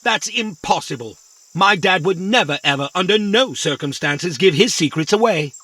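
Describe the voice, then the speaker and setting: A man's voice: sits high, lively intonation, moderate pace, sharp tone, dry recording, exaggerated voice. A man playing a naive, opinionated, literal-minded little robot in a children's audio drama. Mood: blunt, literal. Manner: literal-minded, matter-of-fact, cheeky.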